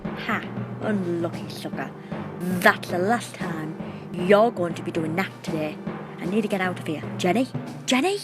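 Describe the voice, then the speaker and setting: A woman's voice: fairly low pitch, moderate pace, over music, natural voice. Blunt, tough action heroine woman acting. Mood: brisk, urgent. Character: tough, impatient female sci-fi action heroine, Silver. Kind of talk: audio drama acting.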